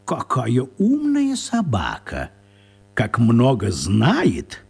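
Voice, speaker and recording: An actor playing a whimsical, teasing little old wizard man in a radio play, husky and expressive. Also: medium pitch, extremely slow, faint music behind, exaggerated voice. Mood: amused, cheery.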